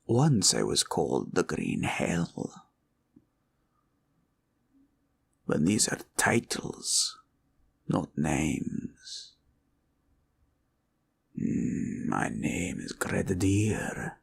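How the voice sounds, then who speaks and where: A man playing a proud, cunning green dragon in a villain roleplay audio, with a taunting drawl and a gravelly growl. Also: deep voice, sing-song, drawn-out words, pauses often, heavily acted. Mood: grand, boastful. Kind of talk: roleplay ASMR villain monologue.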